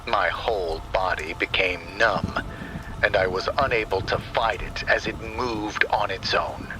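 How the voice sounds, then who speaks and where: A man narrating a scripted first-person horror story in a deliberate, dramatic manner. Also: deep voice, noisy background. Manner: slow, deliberate, dramatic delivery. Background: rain and thunder sound effects.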